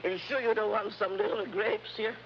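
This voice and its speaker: An actress playing a flirtatious, cooing hostess, coy and playful, in a vintage TV adventure. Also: female voice, medium pitch, steady tone, moderate pace, lightly acted. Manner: coy, giggly, drawling endearments. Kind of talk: 1950s TV adventure acting. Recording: muffled old TV soundtrack with noise.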